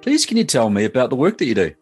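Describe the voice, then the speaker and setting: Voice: mid-range voice, huge pitch swings, very fast, darkish tone, husky, faint music behind. An interviewer asking short, polite questions. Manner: polite, brief prompts.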